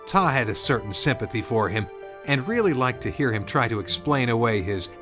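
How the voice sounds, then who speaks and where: Man, mid-pitched voice, storybook narration, scripted delivery, music and jingle bells behind. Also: expressive, a little fast.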